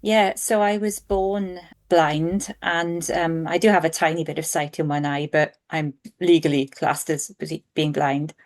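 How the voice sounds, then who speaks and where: A woman's voice: sits low, level tone, unhurried, few pauses. A Welsh woman in her forties, an author, answering interview questions in an earnest, thoughtful way with frequent ums. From Wales. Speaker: author guest.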